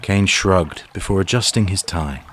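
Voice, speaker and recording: A man's voice: low voice, moderate pace, a bit bright, a little hiss or hum. A man narrating a scripted fantasy story for an audio drama.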